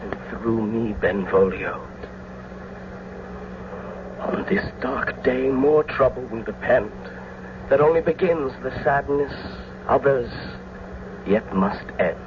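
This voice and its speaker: An actor playing an earnest, passionate young lover in a radio Shakespeare drama, with heartfelt declamatory delivery. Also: male voice, mid-range voice, animated, takes it slowly, pauses often. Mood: grieving. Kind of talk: old-time radio Shakespeare acting. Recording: noisy, dull old radio recording.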